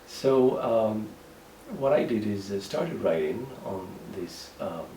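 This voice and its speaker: A man's voice: lowish voice, animated, slow, warm tone. Hesitant Moroccan man, roomy distant sound. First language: Moroccan Arabic. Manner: hesitant, many fillers and restarts. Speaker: writer. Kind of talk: interview monologue. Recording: echoey room, mic at a distance, faint background noise.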